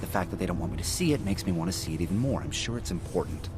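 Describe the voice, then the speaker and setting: An actor playing a chatty, jittery, defensive forensic investigator in a video game, excitable and rambling with hesitations. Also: male voice, low voice, racing, over music. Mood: earnest, conspiratorial. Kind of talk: video game cutscene acting.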